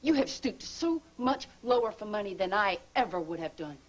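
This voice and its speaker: A woman's voice: medium pitch, animated, normal speed, a little hiss or hum, close to an everyday voice. A woman playing a bitter, scheming soap-opera lover. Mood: taunting. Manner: taunting, bitter, emotional. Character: bitter scheming woman, former nurse-accomplice, hurt and vengeful. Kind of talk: daytime soap drama acting.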